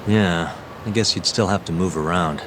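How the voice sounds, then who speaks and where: A man's voice: deep voice, normal speed, noisy background, lightly acted. An actor playing a cool, determined young man, terse and self-assured, in a video game cutscene. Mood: cool, curious. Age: young adult.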